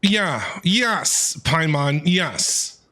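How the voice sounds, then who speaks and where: Bright, high-pitched, animated male streamer. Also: drawn-out words. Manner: expressive, exclamatory. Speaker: game streamer. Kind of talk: reacting to a game live, casual chat.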